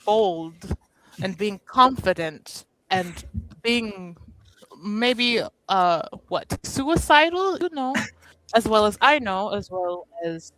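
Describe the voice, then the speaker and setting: A woman playing an amused, candid, teasing character in a tabletop roleplaying game, in a halting manner. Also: mid-range voice, animated, a bit bright, no room sound, rough recording. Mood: wry.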